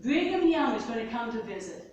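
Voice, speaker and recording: Woman storyteller, soft-spoken, lively tale-telling, faint noise, distant booming hall. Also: fairly quick, highs cut off. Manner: soft-spoken, animated storytelling with sudden pitch lifts. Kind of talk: oral storytelling to a live audience. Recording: far-off mic in an echoey hall.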